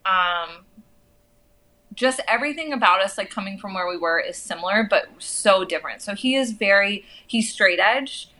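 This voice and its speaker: A woman's voice: mid-range voice, expressive, moderate pace, bright, forceful tone, rough recording. An American woman from the southwestern United States talking casually in conversation as a guest.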